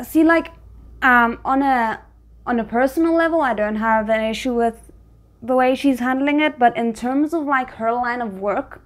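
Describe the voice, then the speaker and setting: A woman giving casual reaction commentary as a video host, talking back to the characters she is watching. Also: on the high side. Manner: talks back to the characters on screen.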